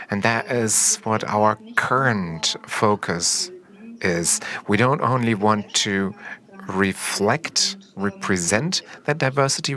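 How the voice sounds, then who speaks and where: A man live-interpreting a panel discussion, speaking in halting, short fragments of phrases. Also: on the low side, bright, forceful tone. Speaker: simultaneous interpreter.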